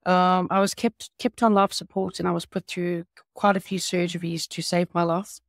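South african woman on a video call, gravelly voice, lots of ums, dry sound. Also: lowish voice, little pitch movement, normal speed, no echo. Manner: hesitant, many fillers. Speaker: guest, patient advocate. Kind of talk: interview storytelling. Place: home room.

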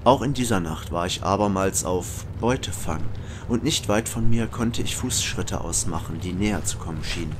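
A man's voice: low-pitched, moderate pace, background noise, lightly acted. A man playing a brooding, conflicted killer who narrates introspectively in an audio drama. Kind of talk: German audio drama acting and first-person narration.

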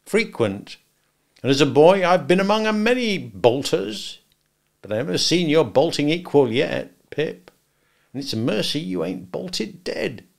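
Guy doing a simple gentle country bloke from a storybook, highish voice, slow and earnest. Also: big pitch swings, creaky, heavily acted. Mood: solemn, earnest. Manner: earnest remonstrating, rustic ungrammatical speech. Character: simple, kindly, slow-witted grown man, earnest and gentle.

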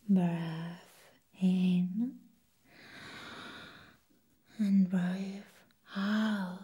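A young woman playing an eccentric, flustered vampire nurse in a whispered ASMR roleplay, slow and halting, repeating her words. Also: mid-range voice, dark tone, exaggerated voice. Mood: slow, soothing. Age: young adult. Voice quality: breathy, whispery. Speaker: ASMR performer. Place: small indoor room set as a clinic. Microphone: close microphone.

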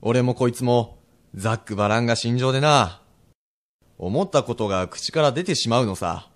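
A man playing a frank, steely Edo-era merchant husband with rough old townsman speech in a radio drama. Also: medium pitch, moderate pace, close to an everyday voice. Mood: placating. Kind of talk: period radio drama acting.

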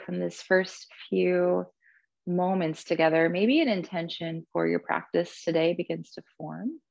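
Female yoga instructor, lowish and creaky, gentle unhurried cues, dry and slightly dull recording. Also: no room sound. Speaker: yoga and meditation teacher. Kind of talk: guided yoga class with breathing cues.

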